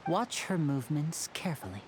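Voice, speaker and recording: A man voicing a youthful stage magician in a video game, polite, smooth and self-assured, with music behind him. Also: on the high side, very animated, fairly quick, background noise. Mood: sly, alert. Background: prominent music.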